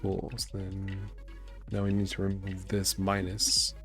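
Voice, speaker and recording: Raspy, gravelly young man streaming. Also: sits low, monotone, unhurried, fairly bright tone. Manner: laid-back, rambling, thinking aloud. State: raspy voice, just recovering from illness. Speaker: game developer streamer. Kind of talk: casual live-stream chat while working. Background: faint background music and keyboard typing.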